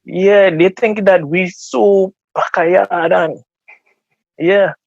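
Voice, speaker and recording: A man's voice: sits high, fairly even intonation, very slow, gravelly, no echo, dull audio. A man with a Trinidadian accent speaking earnestly and casually in an interview, often repeating words as he gathers his thoughts. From Trinidad and Tobago. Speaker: guest.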